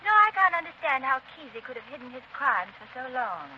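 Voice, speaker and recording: An actress playing an earnest, inquisitive companion in a 1930s radio drama. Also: female voice, high-pitched, big pitch swings, fairly quick. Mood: puzzled, concerned. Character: inquisitive, earnest female companion of crime-fighter. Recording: dull, noisy vintage 1930s radio recording.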